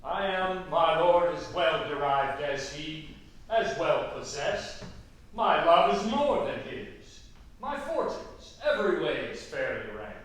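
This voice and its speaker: A man playing an ardent young lover, declaiming Shakespearean verse emphatically in drawn-out phrases at a staged reading. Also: fairly high pitch, sing-song. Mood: defiant, indignant. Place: echoey room at a theatre camp reading. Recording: cavernous echo, distant mic, faint noise.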